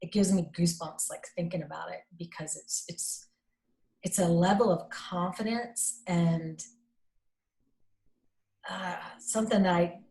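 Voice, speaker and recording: A middle-aged woman speaks in a somewhat low voice. She talks casually as an interview guest, full of fillers and restarts. She livens up when she retells a conversation. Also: unhurried, gravelly, long pauses, rough recording. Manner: animated, acts out quoted dialogue, many fillers; self-described strong voice, not shy. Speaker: interview guest, company executive.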